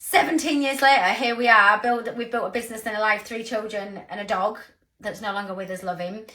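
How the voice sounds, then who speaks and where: A woman in her thirties with a croaky voice, giving an earnest, rambling motivational talk to her team. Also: mid-range voice, fairly even intonation, fairly quick, pushed and bright. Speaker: sales team leader.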